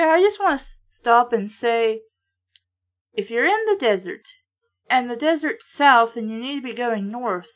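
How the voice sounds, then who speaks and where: A woman's voice: high voice, fairly bright tone. A woman reading a storybook aloud and adding blunt, sarcastic, sweary asides of her own. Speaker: book reader and reviewer.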